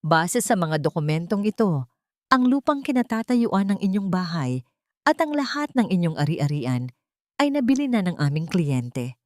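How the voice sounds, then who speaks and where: A woman with a Filipino accent voicing a stern, cold male lawyer in an audio story, formal and measured. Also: on the low side, very animated, no room sound, studio quality.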